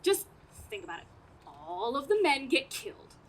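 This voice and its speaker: A woman's voice: sits high, sing-song, moderate pace, poor audio. A woman playing a defiant, sarcastic wife and radio actress in a stage comedy, arguing back. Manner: argumentative, sarcastic. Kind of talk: community theater stage comedy acting. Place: outdoor stage in a parking lot. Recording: live outdoor show, audience noise.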